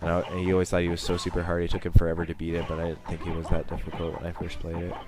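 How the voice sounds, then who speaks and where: Young male let's-play host, bass-low voice, stuffy with a cold, rambling and wry. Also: monotone, rapid-fire. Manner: rambling, self-mocking, chatty. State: sniffling from a cold. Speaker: gaming channel host. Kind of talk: let's play commentary over gameplay.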